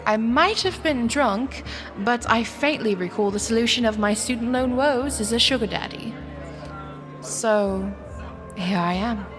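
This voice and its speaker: A woman's voice: on the high side, unhurried, over music, natural voice. Woman acting a wry, flustered young woman. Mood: wry, brooding. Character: anxious, sardonic young woman, broke and flustered, defiant underneath. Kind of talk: first-person dramatic narration and dialogue.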